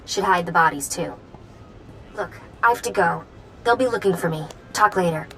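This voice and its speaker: An actress playing an earnest, resourceful informant in a video game, speaking in short, clipped sentences. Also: female voice, lowish voice, moderate pace, noisy background, natural voice. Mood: urgent warning.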